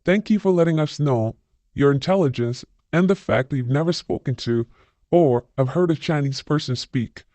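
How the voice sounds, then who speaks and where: A man's voice: on the high side, moderate pace, dark tone, no echo. A man hosting a channel, reading online comments aloud and adding blunt, scornful opinions of his own.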